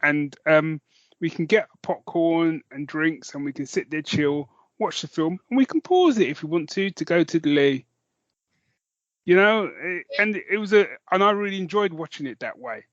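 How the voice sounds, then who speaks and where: A man with a British accent co-hosting a podcast, chatting casually in a rambling, halting way that often backtracks. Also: fairly high pitch, lively intonation, fairly bright tone, no echo, lo-fi sound. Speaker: podcast co-host. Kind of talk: casual chat about films and comics.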